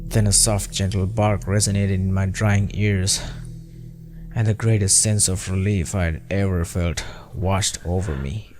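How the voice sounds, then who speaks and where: A man's voice: deep voice, steady tone, measured pace, music in the background. A man reading a scripted first-person horror story as narrator, with a brooding, dramatic delivery.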